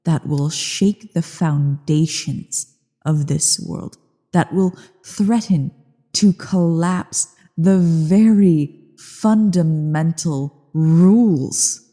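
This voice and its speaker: A woman voicing an ancient evil female dragon in a fantasy ASMR roleplay, haughty, imperious and condescending, with deliberate phrasing and a breathy, gravelly, dark voice. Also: deep voice, heavily acted. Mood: haughty, mocking. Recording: long cavernous reverb.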